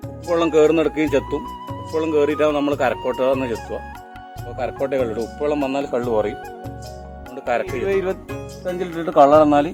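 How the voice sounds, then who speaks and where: A man with a northern Kerala Kannur accent, a toddy tapper informally explaining his trade hands-on. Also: mid-range voice. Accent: northern Kerala (Kannur) Malayalam. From Kannur region of Kerala, India. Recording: music behind the voice. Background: instrumental background music.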